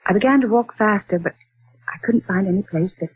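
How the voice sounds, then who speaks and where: An actress playing a genteel, easily frightened woman in a theatrical, halting 1940s radio drama style. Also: medium pitch, husky. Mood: haunted, dramatic. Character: frightened, genteel woman recounting ghostly canyon ordeal. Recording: muffled, noisy 1940s radio recording.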